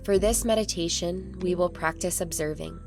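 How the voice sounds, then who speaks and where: A woman narrating a guided meditation in a calm, soothing, measured way with long pauses. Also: on the low side. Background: meditation music.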